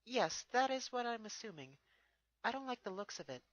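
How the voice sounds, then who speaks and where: A studious young pony princess, played by a male reader, high-pitched and bright. She is earnest and careful, reasoning things through with curiosity in a cartoon fantasy story. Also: big pitch swings, moderate pace, no echo, full character voice. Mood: wary.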